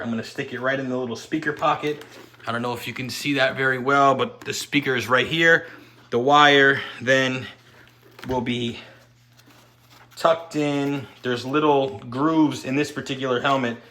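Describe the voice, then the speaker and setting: A man's voice: mid-range voice, pushed and bright. A man giving an unscripted step-by-step installation tutorial, talking as he works with his hands. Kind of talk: hands-on how-to demonstration, unscripted.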